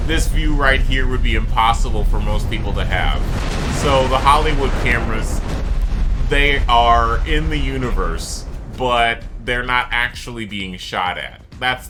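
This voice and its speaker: A man giving rambling, explanatory solo gameplay commentary with dry asides. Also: mid-range voice. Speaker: gaming streamer. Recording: loud game music behind voice. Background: loud game music and sound effects.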